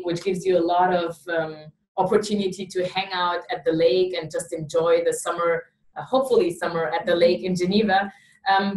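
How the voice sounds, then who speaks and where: A woman's voice: fairly low pitch, roomy sound, distant mic, poor audio. A Swiss woman, English not her first language, hosting an interview and encouraging students to join a summer abroad program. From Switzerland. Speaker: study abroad program host. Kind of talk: promotional interview.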